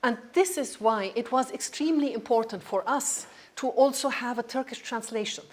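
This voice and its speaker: A woman's voice: a little high, normal speed. Hesitant woman giving a lecture talk. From Germany. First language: Armenian. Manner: hesitant, with frequent ums and restarts. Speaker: project founder speaking at an academic event.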